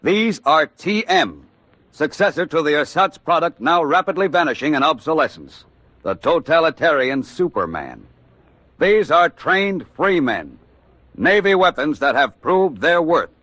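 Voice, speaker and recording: Animated American man, wartime radio narrator, fairly high voice, declamatory, emphatic, dry sound. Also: measured pace, dry recording.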